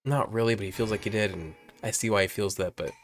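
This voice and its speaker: A man in his late twenties chatting casually in a vlog, rambling and jumping up in pitch when excited, filmed on a phone at home. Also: quick pace, a little hiss or hum. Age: 20s. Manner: rambling, animated, swings high when excited. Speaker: vlogger. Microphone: phone camera.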